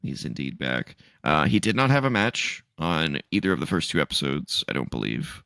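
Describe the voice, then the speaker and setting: A man co-hosting a casual podcast, stuffy and sniffly from allergies, loose and laughing, swooping high for emphasis. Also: big pitch swings, moderate pace, creaky, sharp tone, smooth, no echo, clean studio sound. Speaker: podcast co-host. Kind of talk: casual chat.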